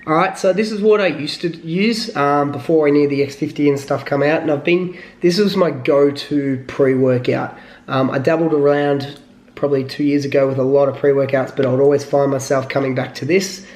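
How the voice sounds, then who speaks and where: A man with a slightly hoarse voice, talking casually to the camera as a fitness vlogger, rambling with lots of fillers. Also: a little high, steady tone, fairly quick, darkish tone, roomy sound. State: hoarse from illness.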